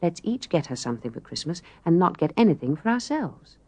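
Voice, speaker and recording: A woman voicing a shy, gentle thirteen-year-old girl with a timid manner in an audiobook. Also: lowish voice, big pitch swings, fairly quick. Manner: timid, peaceful.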